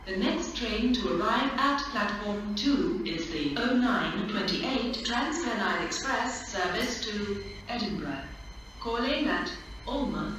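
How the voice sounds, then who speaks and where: A woman makes scripted train announcements at a slow, deliberate pace, phrase by phrase. Her voice comes from a distant platform speaker, swimming in echo, with faint wind noise behind it. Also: medium pitch. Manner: measured, list-like delivery in separate phrases. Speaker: railway station announcer. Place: railway station platform, over public address speakers. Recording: echoey station PA from afar, faint wind noise.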